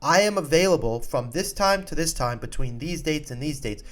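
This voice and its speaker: A man's voice: fairly high pitch, normal speed. A man hosting an informal advice talk as a coach, speaking directly and emphatically and repeating his key points. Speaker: college soccer recruiting coach and podcast host.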